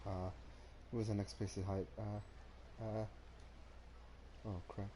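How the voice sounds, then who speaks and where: Low-voiced man streaming a game. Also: flat delivery, takes it slowly, warm tone, slight background noise, poor audio. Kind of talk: casual gameplay commentary.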